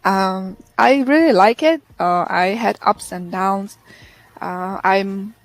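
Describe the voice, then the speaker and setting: A woman's voice: mid-range voice, slow pace, dry recording. Earnest woman on a video call. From Vietnam. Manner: earnest, reflective storytelling. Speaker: interview guest. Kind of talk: casual interview chat.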